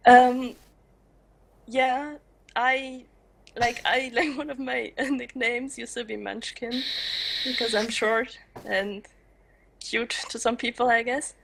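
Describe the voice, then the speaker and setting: A woman's voice: on the high side, fairly even intonation, takes it slowly, gravelly, pauses often, slight background noise. Giggly hesitant woman in her twenties. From Switzerland. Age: 20s. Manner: giggly, hesitant, searching for words. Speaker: student and streaming guest. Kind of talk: casual live-stream Q&A.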